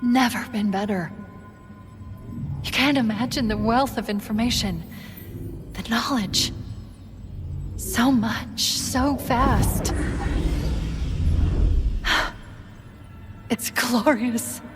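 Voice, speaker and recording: Brief: haughty, urgent female AI for a game cinematic; higher-set voice, big hall reverb. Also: very slow, pauses often. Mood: awestruck. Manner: intense, haughty, dramatic delivery. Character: brilliant female artificial intelligence, imperious and urgent. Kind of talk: cinematic video game acting. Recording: long hall reverb with music behind.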